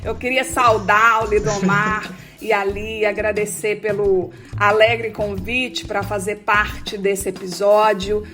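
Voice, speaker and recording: A woman in her forties from Belo Horizonte with a Mineiro accent, introducing herself as a theologian guest in a measured way. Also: medium pitch, level tone, over music. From Belo Horizonte, Brazil. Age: 40s. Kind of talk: self-introduction in an interview.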